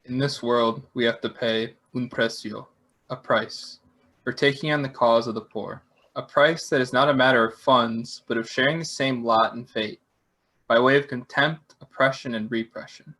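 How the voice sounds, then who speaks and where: A man reading spiritual quotations aloud at a memorial, earnest and measured. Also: mid-range voice, fairly even intonation, pushed and bright.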